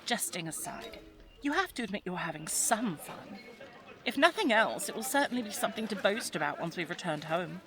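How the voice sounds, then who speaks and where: A woman's voice: mid-range voice, very animated, a little fast, music in the background, close to an everyday voice. A woman playing a spirited, teasing young English lady of the 1920s in an audio drama, chatty and well-spoken with an English accent. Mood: teasing excitement. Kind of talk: audio roleplay drama, addressed to the listener.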